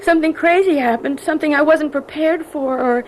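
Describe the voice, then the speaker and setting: An actress playing an anguished, apologetic young woman, a professor's daughter, in a radio drama, speaking in a halting, pleading way. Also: sits high, lightly acted, poor audio. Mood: guilty, pleading. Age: young adult. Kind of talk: American radio drama acting. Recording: radio drama with clear background noise.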